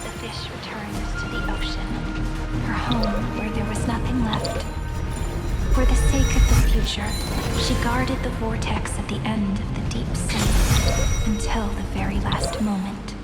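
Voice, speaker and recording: An actress playing a gentle, wistful young woman in a video game, speaking softly and reflectively, at times nearly whispering. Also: medium pitch, fairly even intonation, unhurried, lightly acted. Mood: solemn. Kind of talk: dramatic video game voice acting. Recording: long echoing hall reverb, music behind.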